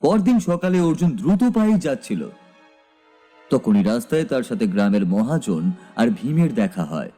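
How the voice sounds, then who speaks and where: A man's voice: mid-range voice, sing-song, normal speed, dark tone, faint music behind. A man narrating a scripted dramatic story as its narrator.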